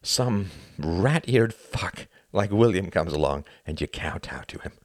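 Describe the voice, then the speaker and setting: A man's voice: low-pitched, animated, measured pace, no room sound, studio quality. A man voicing a sardonic, articulate male friend in an audiobook, reasoning and lecturing with emphatic exasperation. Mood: exasperated anger.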